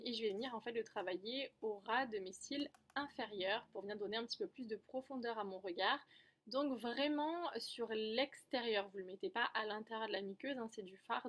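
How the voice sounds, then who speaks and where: Female beauty vlogger, fairly high voice, casual step-by-step tutorial delivery, chatty and self-correcting. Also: fairly bright tone.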